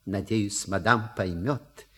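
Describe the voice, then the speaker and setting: A man's voice: on the low side, takes it slowly, sharp tone. A man acting a dignified, deferential manservant with formal, grandiloquent speech in a radio play. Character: dignified, unctuous manservant, loyal and ceremonious.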